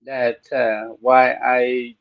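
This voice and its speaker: Thai-accented male podcast host, slow and halting, dry, slightly dull sound. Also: medium pitch, flat delivery, dry recording. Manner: slow, halting with many "uh" pauses. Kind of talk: unscripted podcast talk.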